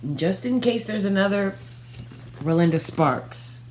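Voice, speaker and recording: A woman in her thirties reading a picture book aloud for children, with expressive, deliberate phrasing. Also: mid-range voice, poor audio. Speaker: storytime reader. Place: small furnished room at home, indoors. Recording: faint background noise and hum.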